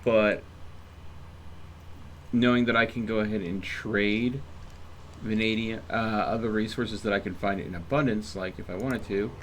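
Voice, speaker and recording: A man's voice: lowish voice, fairly even intonation, unhurried, long pauses, a little hiss or hum. A man livestreaming a video game in casual chat, rambling and thinking aloud as he plays. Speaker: live streamer playing a video game.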